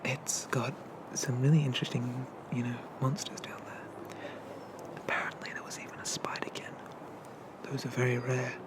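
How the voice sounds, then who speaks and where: A performer playing a friendly, bashful monster barista in a soft, hesitant, gently chatty whispered ASMR roleplay. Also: medium pitch, level tone, normal speed, natural voice. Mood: kind, helpful. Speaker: coffee shop barista.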